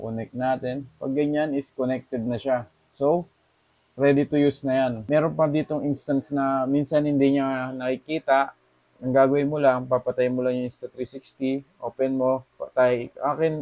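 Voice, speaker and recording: A man's voice: mid-range voice, no room sound, narrow, tinny audio. Filipino guy doing a chill step by step how-to, really dry close sound. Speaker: vlogger giving a tutorial. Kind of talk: casual step-by-step tutorial.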